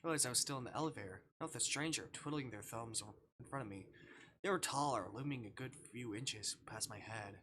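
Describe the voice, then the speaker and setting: A man's voice: mid-range voice, a little fast, a little hiss or hum. A man livestreaming a visual novel, reading it aloud theatrically and reacting as he goes. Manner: theatrical, swings from hushed narration to shouting.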